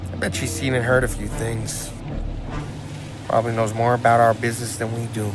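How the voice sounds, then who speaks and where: An actor playing a brash, jovial American mobster sidekick in a video game, crude and full of slang. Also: male voice, mid-range voice, flat delivery, a little fast, music in the background, lightly acted. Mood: teasing, gossipy. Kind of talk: video game cutscene acting.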